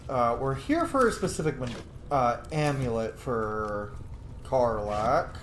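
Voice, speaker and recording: A man's voice: medium pitch, expressive, slow, a bit bright, reverberant, slight background noise, rough recording. A man giving casual, playful, sweary commentary as he plays a video game. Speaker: gaming video host.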